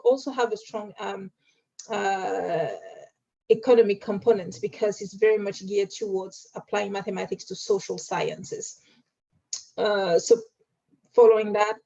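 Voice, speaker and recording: A woman from Cameroon in her thirties, a guest in an interview, talking through her career with many pauses, fillers and restarts. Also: mid-range voice, darkish tone, lo-fi sound. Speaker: guest, strategy analyst. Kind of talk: interview answers, recounting career.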